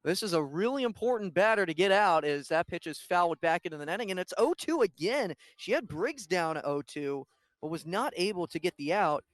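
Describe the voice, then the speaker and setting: A man with a high voice commentates live on a softball game as the play-by-play announcer. He follows every pitch in detail, and the sound is dry and close. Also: expressive, a little fast, dry recording.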